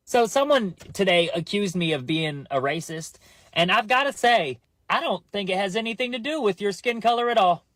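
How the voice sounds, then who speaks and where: A man's voice: high-pitched, lively intonation, moderate pace, fairly bright tone, no echo. A man doing a comic rant, slangy and laughing as he goes, calling the listener bro. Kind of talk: comedic rant.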